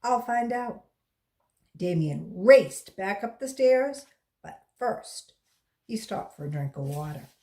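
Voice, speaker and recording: A woman reading a children's story aloud as a volunteer, in a deliberate storytelling style. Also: fairly low pitch, very animated, mellow.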